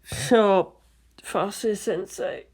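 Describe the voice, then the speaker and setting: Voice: mid-range voice, sing-song, moderate pace, fairly bright tone. A narrator voicing an earnest, lovelorn teenage boy who doubts himself. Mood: sleepy wonder.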